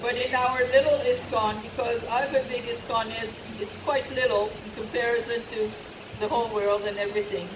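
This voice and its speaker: Woman devotional teacher, earnest and emphatic, mid-pitched, distant mic in an echoey hall with steady noise. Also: normal speed. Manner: earnest, emphatic, repeats key points. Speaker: religious teacher. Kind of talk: devotional lecture to a retreat audience. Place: retreat hall.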